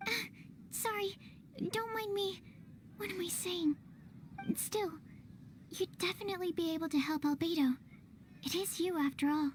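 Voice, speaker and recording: Young alchemy assistant for a video game: high-pitched, earnest, shy, apologetic. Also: female voice, fairly even intonation, moderate pace, pauses often, a little hiss or hum. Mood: eager, flustered. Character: eager, bashful young female alchemy assistant, polite and earnest. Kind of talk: video game character acting.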